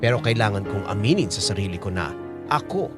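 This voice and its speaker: A man's voice: fairly low pitch, animated, brisk, natural voice. A Filipino man acting as a remorseful middle-aged lover, narrating his story in a reflective, confessional way for a radio drama. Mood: remorseful reflection. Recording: loud piano music underneath.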